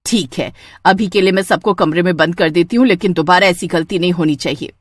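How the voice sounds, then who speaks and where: A woman voicing an exasperated older mother-in-law in a family story, sharp and reproachful. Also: fairly low pitch, brisk, dry recording, clean studio sound, lightly acted. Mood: stern.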